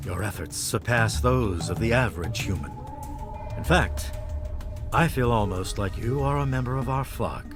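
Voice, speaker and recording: A solemn male cult leader played by a game actor speaks in a lowish voice with formal, stately courtesy. Every phrase is measured, and music plays clearly behind him. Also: natural voice. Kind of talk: video game dialogue.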